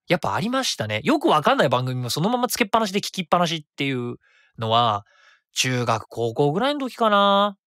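A man around forty, hosting his own web radio show in a chatty, rapid way that stays easy to follow. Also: fairly high pitch, a bit bright, no room sound, clean studio sound. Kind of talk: casual solo radio talk.

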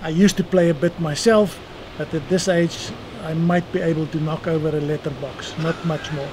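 South African man in his sixties, measured. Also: fairly high pitch, steady tone. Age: 60s. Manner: measured, dry self-deprecating humor. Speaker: eye surgeon guest. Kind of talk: seated interview answers. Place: outdoor terrace by the sea. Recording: outdoor noise behind voice.

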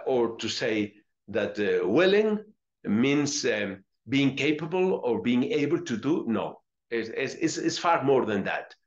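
A middle-aged man from Colombia with a Spanish accent, a friar teaching a religious lesson, reading aloud and explaining deliberately, repeating phrases for emphasis. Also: medium pitch, dull audio. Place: small indoor room with curtains.

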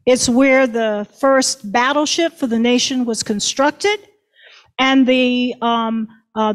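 Woman prof telling history stories, slow deliberate pace, kinda high voice, earnest. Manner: earnest storytelling, emphatic. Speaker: history professor. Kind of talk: academic panel talk.

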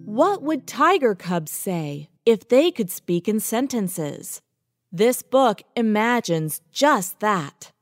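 A woman's voice: medium pitch, very animated, takes it slowly, no echo, clean studio sound, soft background music. A woman narrating a children's picture book, reading the titles and blurb.

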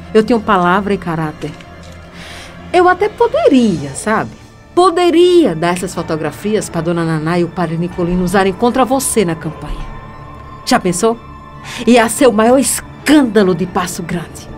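A woman's voice: mid-range voice, lightly acted. Actress as a defiant, scornful young Brazilian woman. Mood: cool, dismissive. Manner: theatrical, taunting. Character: defiant young female student, scornful, self-righteous blackmailer. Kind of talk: radio soap opera acting.

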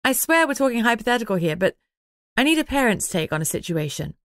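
A woman's voice: fairly high pitch, very animated, fast, no echo, pitched above the performer's own voice. Woman playing a young heroine in an audiobook, frank and wry, dry close sound. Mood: embarrassed, insistent.

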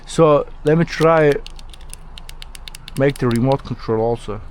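A man's voice: mid-range voice, a little slow. A man from Turkey with a Turkish accent, a locksmith chatting informally with a customer and often repeating himself. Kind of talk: casual chat with a customer. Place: on a job at a customer's vehicle. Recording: noisy on-the-job recording near vehicles.

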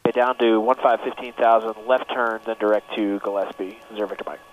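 A man's voice: fairly low pitch, steady tone, fairly quick. A man speaking as a pilot, making clipped calls to air traffic control over the radio. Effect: aviation radio. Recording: tinny narrow radio audio, faint noise.

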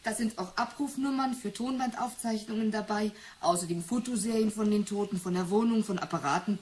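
An actress playing a brisk, matter-of-fact female research assistant in a 1980s radio drama. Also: mid-range voice, darkish tone, close to an everyday voice. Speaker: assistant character in a radio play.

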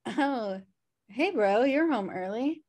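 A woman's voice: a little high, animated, takes it slowly, a little mellow. A woman reading the part of a sardonic, wisecracking slacker sister in a sitcom table read over a video call. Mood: flippant. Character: flippant, sardonic slacker sister, adult twin. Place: indoor room at home on video call.

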